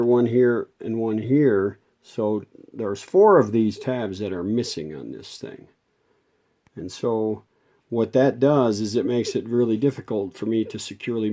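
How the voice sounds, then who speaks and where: A man's voice: fairly low pitch, mellow. A man giving an unscripted, hands-on repair demonstration as a restorer, explaining things step by step in a folksy way.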